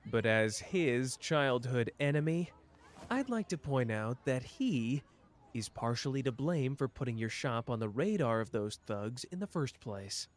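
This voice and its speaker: A man's voice: medium pitch, lively intonation, lightly acted. A young man voicing a clever, protective young man in an ASMR roleplay, talking in a dry, teasing way close to the listener. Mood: wry. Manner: dry, teasing, quick-witted, close and intimate.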